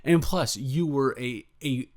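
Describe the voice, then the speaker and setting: Gushing, excitable boyfriend played by a man. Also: medium pitch, slow pace, natural voice. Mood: playful, bantering. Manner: gushing, rambling, stammering, playful. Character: excited, affectionate, golden retriever boyfriend on vacation. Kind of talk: improvised boyfriend roleplay audio.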